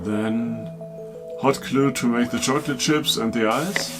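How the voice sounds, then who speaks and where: A man's voice: medium pitch, unhurried. A man hosting a scripted comedic craft video, asking eager questions with self-deprecating humor, with music playing behind. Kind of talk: scripted comedic tutorial dialogue. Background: background music.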